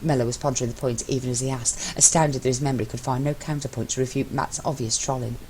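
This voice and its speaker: A woman reading fiction aloud as an amateur narrator, with expressive story delivery. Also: rumbling low, fast talker, slight background noise.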